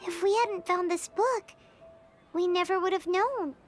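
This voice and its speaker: A woman voicing a tiny childlike fairy companion in a video game, with a squeaky, expressive and earnest voice. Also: unhurried. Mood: wistful. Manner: refers to self in third person, expressive. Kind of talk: video game cartoon voice acting. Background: background music.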